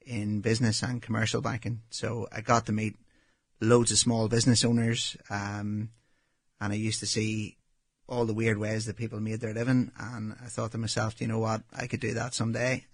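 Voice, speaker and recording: A Northern Irish man in his thirties, a restaurant owner answering interview questions in a relaxed, matter-of-fact way with frequent ums. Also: on the low side, moderate pace, husky, dry recording. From Northern Ireland.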